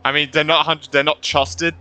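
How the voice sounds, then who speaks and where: Girl streamer reacting to a game, low but bright voice, muttery asides, repeats herself, real casual. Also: flat delivery, normal speed. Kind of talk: casual live gameplay reaction. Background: faint game music.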